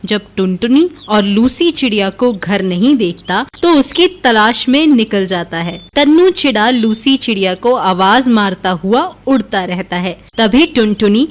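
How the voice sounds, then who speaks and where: A woman's voice: medium pitch, expressive, unhurried, few pauses. A woman narrator reading a scripted children's moral story.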